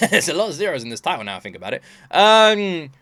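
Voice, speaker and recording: A man giving casual, unscripted reaction commentary as the host, thinking aloud in an animated, puzzled way. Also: a little high, fairly quick, no room sound.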